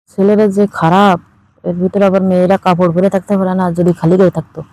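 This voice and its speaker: A woman acting as a coy, pining girlfriend on an intimate late-night call. Her voice is mellow and soft-edged, in a very dry, close sound. She teases and gently reproaches her faraway lover with suggestive hints. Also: lowish voice, little pitch movement, fairly quick, no room sound, close to an everyday voice, rough recording. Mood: coy, teasing.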